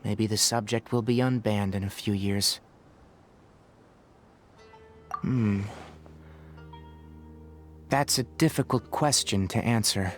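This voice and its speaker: A man playing a calm, scholarly young forest ranger in a video game, caring but principled, speaking in a measured, precise way. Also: lowish voice, lightly acted. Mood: reflective, principled. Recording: faint music behind the voice. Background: soft background game music.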